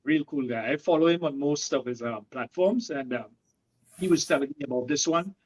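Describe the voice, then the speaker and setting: A man in his late fifties from Trinidad, a guest in a casual chat who talks in an easygoing way with many pauses and fillers. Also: fairly high pitch, low-quality recording. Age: 50s. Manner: relaxed, hesitant with many fillers.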